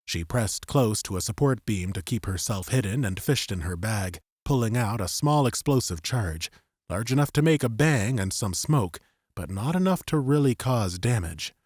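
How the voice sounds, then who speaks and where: A man narrating scripted fiction as an audiobook, with measured, suspenseful storytelling. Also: fairly low pitch, animated, a little mellow, breathy edge, dry recording, studio quality.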